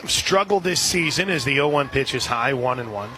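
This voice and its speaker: A man doing live radio play-by-play commentary of a softball game. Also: mid-range voice, animated, normal speed. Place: outdoor softball stadium broadcast position. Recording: outdoor ballpark, clear crowd noise behind.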